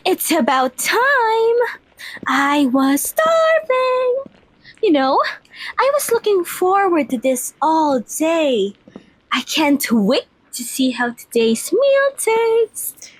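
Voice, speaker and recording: Casting: woman as girlish vampire mistress for ASMR roleplay, very high voice, leisurely delivery, playful and sweet. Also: sing-song, takes it slowly, no echo, full character voice, low-quality recording. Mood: gleeful, hungry. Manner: bubbly, informal, giggly.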